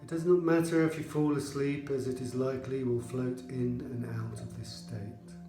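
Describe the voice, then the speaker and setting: A man leading a guided yoga relaxation as an instructor, speaking in a measured, soothing manner with pauses. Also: medium pitch, fairly even intonation, echoey room, music in the background. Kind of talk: guided relaxation meditation narration.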